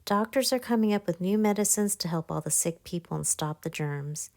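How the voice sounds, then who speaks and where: Woman reading as a gentle, reassuring storybook mom. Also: on the low side, brisk, vocal fry. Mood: comforting. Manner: patient, explaining simply to a child. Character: gentle, reassuring mother of a young boy.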